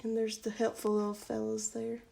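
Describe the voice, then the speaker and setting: A woman's voice: a little high, monotone, normal speed, gravelly, dark tone, a little hiss or hum. A woman reading a children's storybook aloud on a livestream, chatty and informal in her asides. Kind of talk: children's picture-book read-aloud with casual asides.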